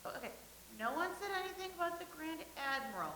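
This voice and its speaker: A woman's voice: high voice, very animated, quick pace, bright, forceful tone, heavily acted. An actress playing an insistent, questioning young woman in a staged play reading, in an echoey room with faint hiss. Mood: pressing.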